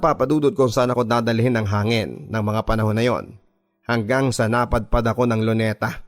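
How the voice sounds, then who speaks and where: A man with a Filipino accent narrates a scripted first-person story in a dramatic, confiding way, like a radio drama narrator. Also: mid-range voice. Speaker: radio drama narrator reading a listener's letter. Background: music bed.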